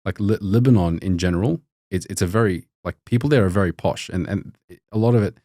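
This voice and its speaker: A young man tells a long, casual story in a low, deep voice. He rambles, restarts and fills gaps with "like" and "um". The sound is close and dry. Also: fairly quick, mellow, no room sound. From Australia. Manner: rambling, many restarts and fillers. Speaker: podcast guest, doctor.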